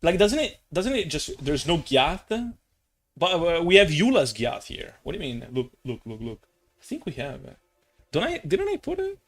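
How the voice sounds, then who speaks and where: Young male streamer, lighter-pitched voice, very animated intonation, casual slangy rambling, playful exasperation. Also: normal speed. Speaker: gaming live streamer. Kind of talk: casual live-stream chat while playing.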